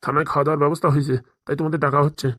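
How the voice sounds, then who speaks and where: A woman's voice: low voice, level tone, rapid-fire, dry recording, studio quality. A woman voicing a bullying, threatening male police officer in a comedy cartoon, commanding and sarcastic. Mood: menacing. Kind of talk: Bengali comedy cartoon dialogue.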